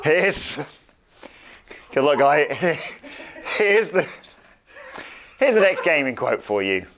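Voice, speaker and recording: Guy hosting a game quiz, reads quotes slowly and dramatically, kinda playful. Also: fairly high pitch, sing-song, a bit bright, a little hiss or hum. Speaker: quiz host.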